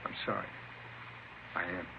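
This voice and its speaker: An actor playing a contrite small-time crook in an old radio drama, halting and pleading. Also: male voice, natural voice. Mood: defensive, contrite. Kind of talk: 1950s radio drama acting. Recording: muffled, hissy old radio recording.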